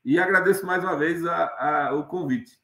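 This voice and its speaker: Middle-aged guy lecturing on a video call, kinda high voice, lots of uh and restarts, echoey room. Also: moderate pace, a bit bright, slight background noise. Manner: rambling, many fillers and restarts. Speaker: guest lecturer. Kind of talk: online lecture with slides. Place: home study with bookshelves. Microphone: webcam on video call.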